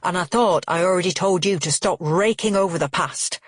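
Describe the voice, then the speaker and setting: An exasperated, angry man from an audiobook drama acted out by a woman. He snaps forcefully and accusingly, with a husky edge, in a very close, dry sound. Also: on the high side, animated, slow, no echo, voice pushed up. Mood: angry, snapping. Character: angry, exasperated, snapping grown man, narrator's brother.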